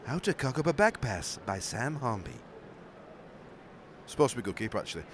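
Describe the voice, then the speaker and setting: A Yorkshire man co-hosting a podcast, chatting casually and excitably while watching football. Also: mid-range voice, a little fast, a little hiss or hum. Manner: excitable, laughing, reacting to the match. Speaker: podcast co-host.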